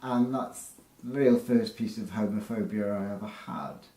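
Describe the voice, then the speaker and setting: Mellow-voiced man, wry storyteller. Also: lowish voice, unhurried. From West Wales. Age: 30s. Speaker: interviewee. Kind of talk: personal recollection. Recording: roomy recording with faint music.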